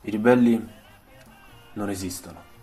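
A male storyteller plays a sleepless, stern male army lieutenant in a radio story. He commands with a calm, hard authority, in a fairly low voice. Soft music runs underneath. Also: a little slow, natural voice. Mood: calm, firm.

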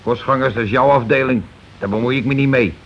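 An actor in a Dutch radio play playing a middle-aged, self-important working-class husband who complains and blusters sarcastically. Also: male voice, mid-range voice, quick pace, natural voice. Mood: indignant blustering. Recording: muffled, hissy old radio recording.

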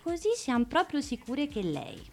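A woman acting out a fussy, forgetful old lady in her seventies for a radio play. Her voice sits high and swoops widely as she protests, plaintive and a little meek. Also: big pitch swings, moderate pace. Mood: bickering. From Rome. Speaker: actor.

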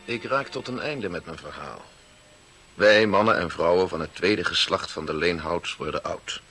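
A man's voice: low-pitched, moderate pace, bright, forceful tone, breathy edge, faint music behind, heavily acted. An actor playing an ageing family man who reflectively narrates his clan's chronicle in a 1960s radio drama. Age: older. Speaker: narrator in character.

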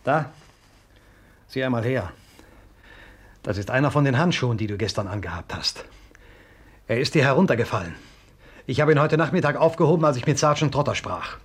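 An actor playing a jealous, suspicious husband in a 1950s German-language radio play. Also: male voice, medium pitch, very animated, normal speed, long pauses, breathy edge. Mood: accusing. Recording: 1950s radio drama recording.

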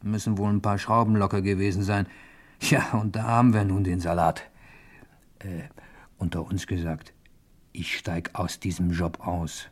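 A man's voice: low voice, little pitch movement, normal speed. An actor playing a sly, chummy male crook who narrates his tale confidentially in a 1950s German radio comedy. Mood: wry, confiding. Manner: confidential, wry, conversational.

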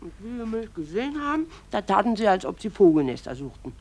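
A gossip-loving village wife in a radio drama. She chatters on about the neighbours without pause. Her voice sits at a middling pitch. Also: very animated, darkish tone. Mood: gossipy.

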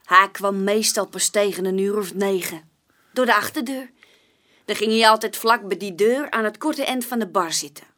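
An actress playing a young, plain-spoken barmaid giving witness testimony in a chatty, colloquial way in a radio play. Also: female voice, medium pitch, moderate pace, pushed and bright, close to an everyday voice. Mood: chatty, forthcoming. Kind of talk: naturalistic radio play dialogue.

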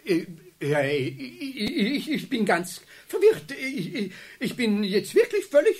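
A man's voice: high voice, very animated, slow pace, a little mellow, slightly rough, heavily acted. A man playing a polite, easily flustered customer in a children's radio play, stammering and apologetic. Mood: confused.

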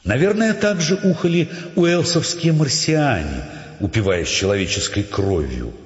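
A man's voice: mid-range voice, lively intonation, a little slow, close to an everyday voice. A male narrator telling a story in a radio play with dramatic, wry expression, in echoey sound with music behind. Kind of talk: dramatic scripted audiobook narration. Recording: echoey reverberant dull sound over clear music.

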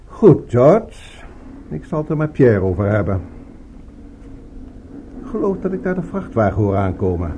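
Suave crime boss, widely varied intonation. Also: male voice, medium pitch, big pitch swings, dark tone, a little hiss or hum, poor audio. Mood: brisk, authoritative. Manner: polished, falsely courteous, unhurried. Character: suave male crime boss, coolly menacing, courteous host. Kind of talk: Dutch radio drama acting.

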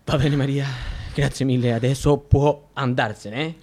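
A woman's voice: a bass voice, steady tone, normal speed, warm tone. A woman playing a flustered, evasive male judge in a radio play, stammering and repeating words. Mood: impatient, placating. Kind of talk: Italian radio theatre acting.

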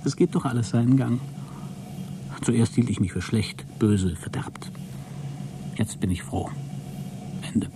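A man's voice: mid-range voice, measured pace, pauses often, noisy background, close to an everyday voice. A man playing a mocking, scheming astronaut in a satirical science fiction radio drama. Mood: secretive, hushed. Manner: sardonic, needling. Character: mocking, scheming male astronaut, resentful of his crewmate.

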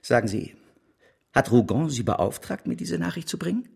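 An actor playing an excitable, scheming financial speculator in a German radio drama, commanding and conspiratorial, with a husky, gravelly voice. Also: male voice, medium pitch, moderate pace, full character voice. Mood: urgent, conspiratorial. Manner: urgent, theatrical, switching to hushed confiding.

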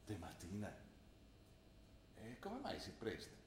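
A man acting a gruff older father from the mountains, with a Trentino accent and dialect-laced, terse talk, in a staged theatre drama. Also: lowish voice, wildly swooping pitch, slow pace, exaggerated voice. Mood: hurt, cold. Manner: dialect-laced, teasing then withdrawn and terse. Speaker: stage actor. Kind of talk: theatre drama broadcast on radio. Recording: roomy stage sound with faint noise.

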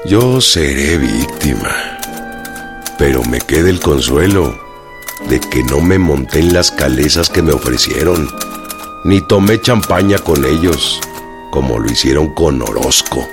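An actor with a Mexican accent playing a proud, loyal, imprisoned revolutionary in a radio drama, speaking in a slow, plain-spoken way. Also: male voice, a bass voice, expressive, heavily acted. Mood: confiding. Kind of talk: radio drama, letters read aloud. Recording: faint music behind.

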